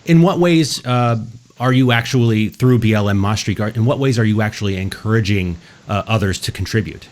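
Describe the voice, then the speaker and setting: A male interviewer with a somewhat low voice puts his questions to a guest. His phrasing is measured and hesitant, and he often stops on fillers before reaching his point.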